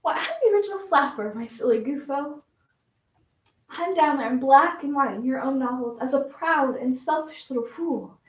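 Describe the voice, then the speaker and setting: A flamboyant Southern belle flapper, acted by a woman in a high-pitched voice, holding forth in a dramatic stage monologue. Her Southern carries a wistful, coquettish theatricality. The sound is distant from the microphone, with plenty of room echo. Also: lively intonation, moderate pace. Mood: coy, teasing. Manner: dramatic, swinging from coy reminiscence to defiant outbursts.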